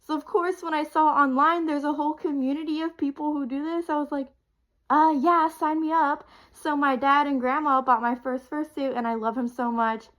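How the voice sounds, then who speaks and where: A woman's voice: sits high, steady tone, moderate pace, fairly bright tone. A woman in her twenties getting over a cold, sniffly, chatting casually and rambling with ums and small laughs as a video creator. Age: 20s. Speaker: content creator.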